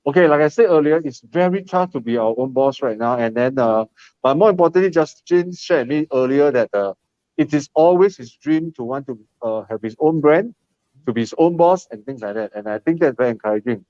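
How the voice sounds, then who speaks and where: A man's voice: mid-range voice, lively intonation, brisk, a little mellow, no echo. A man with a Singaporean English accent hosting a casual live stream, chatty and encouraging, with many fillers. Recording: muffled lo-fi live stream, dry.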